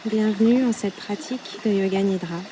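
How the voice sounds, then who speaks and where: A woman leading a guided yoga nidra meditation in a soothing, unhurried way, with short phrases and long pauses. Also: mid-range voice, background noise. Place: outdoors at a riverbank. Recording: outdoor recording with loud running river water. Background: flowing, trickling stream water.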